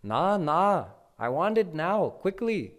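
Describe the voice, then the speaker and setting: A small boy, demanding and impatient, whines in a high, lively voice, as a man storyteller puts him on. He pleads and insists, his voice rising and falling. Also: slow pace, heavily acted. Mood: whiny, demanding.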